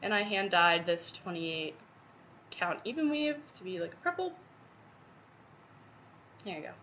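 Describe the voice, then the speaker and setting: A woman's voice: on the low side, big pitch swings, measured pace, pushed and bright, a little hiss or hum, low-quality recording. A woman with a stuffy head cold, chatting casually and rambling with lots of fillers as she shows her stitching projects. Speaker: cross-stitch vlogger. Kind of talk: casual show-and-tell chat.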